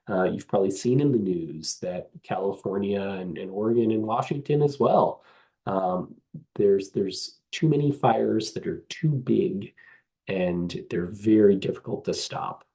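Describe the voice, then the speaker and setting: A hoarse man giving a webinar talk as staff of a trail association, earnest, with frequent ums and uhs. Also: lowish voice, warm tone, rough recording. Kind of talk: webinar presentation. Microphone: video call.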